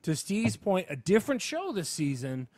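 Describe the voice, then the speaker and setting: Man, slightly high voice, emphatic and rambling, casual podcast co-host, close dry sound. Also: big pitch swings, moderate pace, darkish tone, no echo. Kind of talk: casual sports and entertainment podcast chat.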